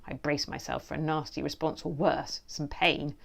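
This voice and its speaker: Deep-voiced lady author reading kids book, croaky fry, expressive storyteller. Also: moderate pace.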